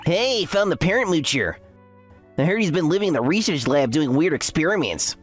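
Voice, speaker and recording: A woman's voice: sits low, animated, a little fast, husky, faint music behind. A woman acting a smug, sneering young man. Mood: gloating. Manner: sneering, condescending. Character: smug, mocking young man, a university underclassman. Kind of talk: anime-style story dub acting.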